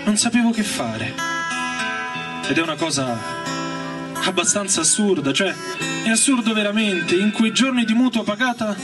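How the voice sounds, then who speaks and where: A man's voice: high voice, normal speed, pauses often. A man giving a theatrical, colloquial first-person reading of a novel, with emphatic repetitions. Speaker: reader and stage performer. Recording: voice distant under loud guitar music. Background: electric guitar and organ music.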